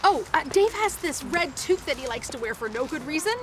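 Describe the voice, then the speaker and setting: A woman's voice: high voice, lively intonation, brisk, background noise. A woman playing an anxious, melodramatic woman in love, with urgent, emotional delivery in an audio drama. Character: lovestruck, anxious, melodramatic woman searching for her sweetheart.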